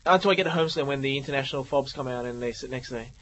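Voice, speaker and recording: A man's voice: medium pitch, very fast. A young Australian man hosting late-night community radio, rambling and joking with his co-hosts. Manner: rambling, jokey, playful shouting. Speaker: radio host.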